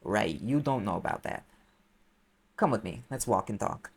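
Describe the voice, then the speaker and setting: A man's voice: fairly low pitch, animated, normal speed, noisy background. A man playing a sarcastic, impatient private eye mage in an audio roleplay, explaining things in a conversational, exasperated way. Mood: wry, explaining. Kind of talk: audio roleplay acting, one side of a dialogue.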